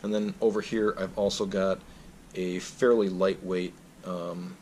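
A man casually walking through his backpacking gear indoors, plain-spoken and relaxed, with frequent fillers. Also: sits low, measured pace, slight background noise. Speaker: backpacking vlogger. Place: indoors, carpeted room.

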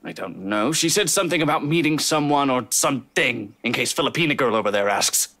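A man's voice: medium pitch, lively intonation, fairly quick, pushed and bright. An actor playing a grumpy, sarcastic, foul-mouthed male neighbor in a visual novel game. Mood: grumpy sarcasm. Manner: sarcastic, irritable.

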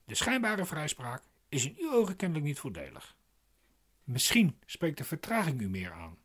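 A man's voice: a little high, huge pitch swings, normal speed, fairly bright tone, breathy edge, heavily acted. An actor playing a glib, pedantic man explaining legal procedure in a radio play, insistent and didactic. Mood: persuasive, pedantic.

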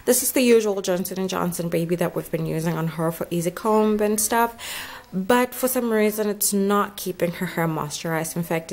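A young South African woman chatting informally in a casual home vlog, with lots of conversational asides. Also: mid-range voice. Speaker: family vlogger, mother. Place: indoors at home, kitchen and table. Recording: clearly noisy home recording with clicks.

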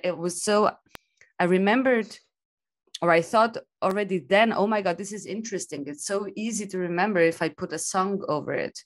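Reflective woman on a video call. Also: fairly low pitch. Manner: reflective, laughs easily, restarts often. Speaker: guest, design thinking. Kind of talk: casual video-call conversation.

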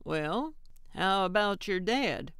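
A woman's voice: medium pitch, lively intonation, slow, fairly bright tone, smooth, dry recording, clean studio sound. A woman voicing a brusque, cranky older woman in an audiobook, snappish and blunt but well-meaning. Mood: brusque, probing. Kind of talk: audiobook character acting.

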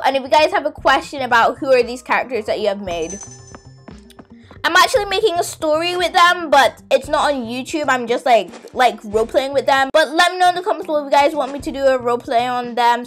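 High-pitched young girl gaming host, chatty. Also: a bit bright. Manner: chatty, exclamatory, addressing viewers. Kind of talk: casual playful game tour commentary.

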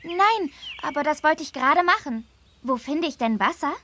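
A woman's voice: high-pitched, expressive, moderate pace, pushed and bright, slight background noise. A woman voicing an eager, polite young girl on a riding holiday in a video game. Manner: enthusiastic, polite. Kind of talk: scripted video game dialogue.

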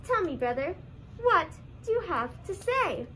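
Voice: very high-pitched, big pitch swings, measured pace, sharp tone, slight background noise, full character voice, poor audio. A young teen performer playing an earnest, puzzled puppet in a comedy skit. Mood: solemn. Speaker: student puppeteer in a school drama show.

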